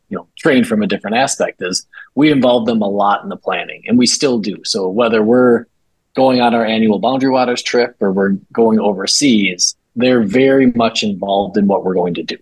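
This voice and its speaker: A man guesting on a podcast, telling travel stories in a relaxed, conversational way. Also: fairly low pitch, normal speed, slightly rough, dry recording, poor audio. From Minnesota, USA. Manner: relaxed storytelling with fillers. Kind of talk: casual conversational interview.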